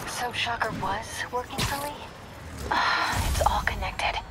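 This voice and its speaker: An actress playing a brisk, secretive young woman investigator in a video game, speaking in a hushed, clipped way. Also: a little high, very noisy, close to an everyday voice. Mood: intrigued. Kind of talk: naturalistic video game voice acting.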